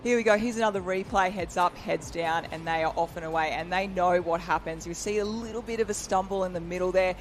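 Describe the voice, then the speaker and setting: Male expert analyst, fairly high voice, chatty and enthusiastic, faint outdoor noise. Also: fast, few pauses. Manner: enthusiastic, explains technique, chatty. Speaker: expert co-commentator. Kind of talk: live sports commentary.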